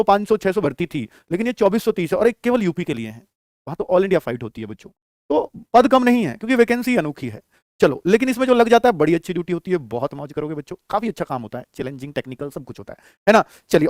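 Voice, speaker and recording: A man's voice: high voice, dry recording, clean studio sound. A man teaching exam students, explaining in a familiar way and often answering his own questions. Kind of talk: lesson on job vacancies addressed to students.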